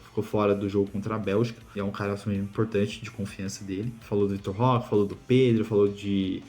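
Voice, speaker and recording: Young Brazilian man, low-pitched, loose chatty football recap host. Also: a little mellow. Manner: chatty, many fillers and restarts, asks viewers for opinions. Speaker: football news channel host. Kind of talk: casual weekly football recap.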